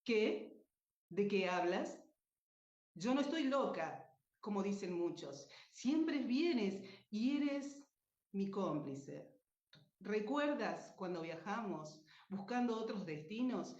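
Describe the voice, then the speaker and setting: A woman's voice: medium pitch, animated, darkish tone. Socorro, troubled old dreamer, acted by a woman, echoey. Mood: defiant, nostalgic. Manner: slow, dramatic, wistful. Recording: echoey room, dull muffled sound.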